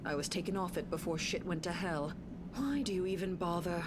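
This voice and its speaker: A woman's voice: fairly low pitch, a little fast, close to an everyday voice. A woman playing a blunt, world-weary, pragmatic police detective in visual novel game dialogue. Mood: dismissive. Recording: clear background ambience noise.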